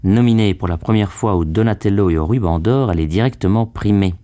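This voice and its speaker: A man presenting a scripted radio feature, reading in a measured, formal way. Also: on the low side, mellow, no echo, clean studio sound.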